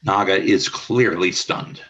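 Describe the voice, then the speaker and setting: A man reading the stage directions of a fan radio play in an even, descriptive way. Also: low-pitched, slow pace, highs cut off. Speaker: narrator.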